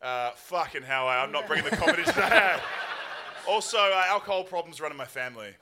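Male comedian, high and lively, casual self-deprecating banter with swearing, live stage with faint noise. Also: brisk. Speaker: comedian panel guest. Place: live venue stage with audience.

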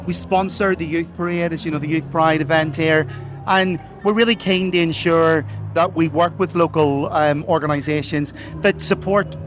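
An Irish man in middle age speaks with a fairly high voice. He sounds earnest and careful, padding his answers with fillers and corporate phrases. He is being interviewed outdoors at a busy festival. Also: fairly even intonation, moderate pace, soft background music. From Ireland. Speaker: corporate sponsor representative.

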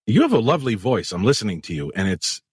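A man hosting a podcast in a husky voice with a lively, swinging pitch, joking crudely and swearing freely. He is recorded close on a dry mic. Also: fast talker, no echo. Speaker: podcast host. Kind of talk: casual podcast chat.

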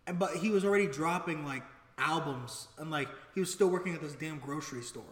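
A young adult man co-hosting a podcast in casual chat, excitable and rambling, swearing freely. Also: a little high, fairly quick. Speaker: podcast co-host. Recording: echoey room with long reverb.

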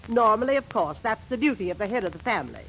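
A woman's voice: fairly high pitch, lively intonation, fast, a bit bright. A woman playing a domineering middle-aged wife and mother in 1940s radio comedy, stern, formal and indignant. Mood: indignant, bossy. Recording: faintly noisy 1940s radio recording.

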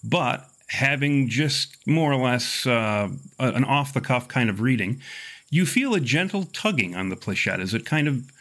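Guy game master telling a spooky story, mid pitch, wordy and wry, pauses for effect. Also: expressive, fairly bright tone. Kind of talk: improvised tabletop horror narration.

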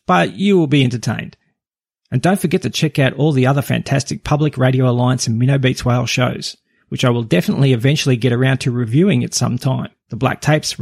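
A man with a husky, gravelly voice hosting a podcast, reading a scripted review. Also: medium pitch, dry recording, clean studio sound. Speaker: podcast review show host. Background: faint music.

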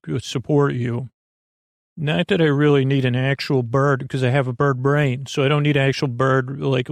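A man hosting a bedtime podcast in a creaky voice, with a lulling, halting delivery that meanders off on tangents. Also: medium pitch, no echo, studio quality. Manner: lulling, soothing, halting and digressive. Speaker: podcast host. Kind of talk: rambling, meandering bedtime monologue.

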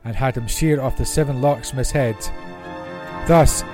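Male Bible reader, medium pitch, scripted storytelling narration, classical music behind. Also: normal speed, mellow. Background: classical orchestral music.

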